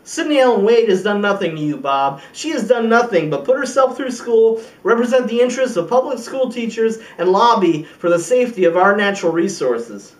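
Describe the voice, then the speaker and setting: High-voiced man acting an indignant president, roomy echo. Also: big pitch swings. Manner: emphatic oratory with deliberate pauses and rhetorical questions. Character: indignant, resolute male American president addressing the press. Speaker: amateur acting student. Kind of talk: rehearsed film monologue as a political speech. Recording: roomy echo, distant microphone.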